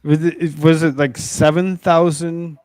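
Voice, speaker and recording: A man's voice: fairly high pitch, little pitch movement, measured pace, a little mellow, dry recording. A man hosting a show with casual banter in an informal, chummy way. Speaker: show host.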